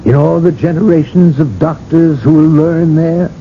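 An actor in a radio drama playing an earnest friend who consoles gently and with emphasis. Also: male voice, on the high side, steady tone, moderate pace, natural voice. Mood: earnest assurance. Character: earnest, consoling male friend of dying tycoon. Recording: dull, slightly noisy old radio broadcast.

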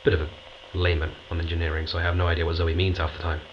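A man's voice: sits low, completely flat delivery, rapid-fire, lightly acted. An actor playing a dry, understated astronaut scientist narrating his log in an audio drama. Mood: matter-of-fact. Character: dry, matter-of-fact male astronaut scientist keeping a log. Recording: dull, noisy recorded log.

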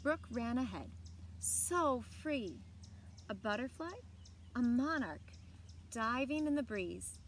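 A woman in her thirties reading a children's picture book aloud expressively, with deliberate phrasing and dramatic emphasis. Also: on the high side, animated. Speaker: library story time reader. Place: outdoors on a lawn by trees. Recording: outdoor background noise with birdsong. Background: outdoor ambience, birds.

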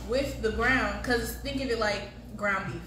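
A woman's voice: fairly high pitch, unhurried. An American woman in her early twenties chatting casually as a guest taste tester, explaining things in slangy terms. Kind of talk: casual chat. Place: home kitchen, indoors. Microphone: phone camera a few feet away. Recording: echoey, distant, clearly noisy kitchen recording.

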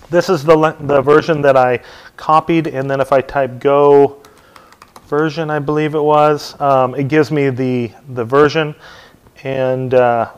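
Middle-aged man giving a relaxed tech tutorial. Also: on the high side, clean tone, rough recording. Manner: relaxed, many ums and uhs. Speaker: technical trainer. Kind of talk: hands-on tech tutorial talk. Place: dim meeting room, standing at lectern.

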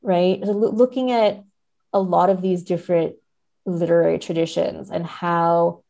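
Low-pitched, creaky-voiced woman scholar. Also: fairly even intonation, moderate pace, warm tone. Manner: hedging, careful explanations. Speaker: podcast guest, literary scholar. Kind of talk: thoughtful academic interview talk.

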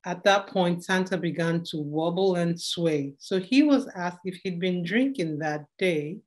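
A woman's voice: lowish voice, a little slow. Young woman reading a rhyming story. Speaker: poem reader. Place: home room indoors.

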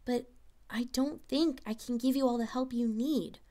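A woman playing a gentle, regretful young female pony mentor, murmuring softly and hesitantly. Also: on the high side, measured pace, natural voice. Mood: pained, gentle.

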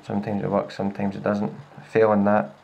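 Low, gravelly Scottish man. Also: brisk. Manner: relaxed, with many fillers and restarts. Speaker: tech reviewer. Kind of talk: casual hands-on demo. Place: indoors at a wooden table. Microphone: handheld camera filming a laptop screen.

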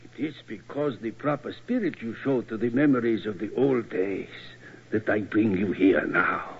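An actor playing a sinister, mad German museum keeper with a German accent, deliberate and relishing in old-time radio style. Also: male voice, on the low side, gravelly, slightly rough, full character voice. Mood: gloating, eerie. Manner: deliberate, relishing, stilted syntax. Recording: muffled, noisy old radio recording.

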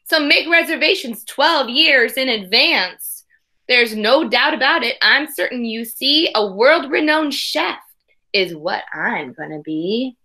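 A woman in her thirties reading a picture book aloud to young children with expressive emphasis and casual asides, in a room at home. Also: fairly high pitch, slow. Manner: expressive, exaggerated storytelling emphasis. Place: small room at home, indoors.

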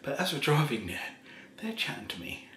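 A man of forty telling a story in a casual, soft-spoken way, rambling and laughing at himself, seated in a small kitchen in front of a camera. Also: on the high side, huge pitch swings, fairly quick, roomy sound, slight background noise. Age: 40s. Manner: rambling, laughs often, self-mocking. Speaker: ASMR creator.

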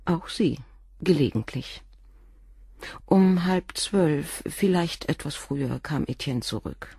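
An actress playing a composed, guarded doctor's wife in a radio crime drama, speaking in a measured, formal way with a soft husky voice. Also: female voice, low-pitched, lively intonation, no echo, clean studio sound, full character voice.

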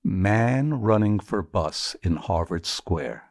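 Male poetry performer, slow and deliberate, highly varied intonation, theatrical phrasing, dry close sound. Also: lively intonation, darkish tone, dry recording. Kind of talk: dramatic poetry reading.

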